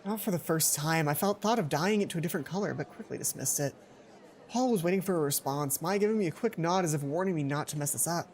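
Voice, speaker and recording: A young adult man reading a visual novel aloud as a let's play host, expressive, often correcting himself and dropping in casual commentary. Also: a little high, fast talker. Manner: expressive, self-correcting reader. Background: game music.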